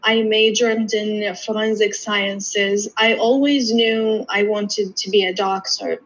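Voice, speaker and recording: A woman's voice: medium pitch, fairly even intonation, slow, poor audio. A young woman in her twenties, from Iraq and Jordan, a podiatry student chatting as an interview guest, often restarting and quoting herself. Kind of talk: casual interview chat.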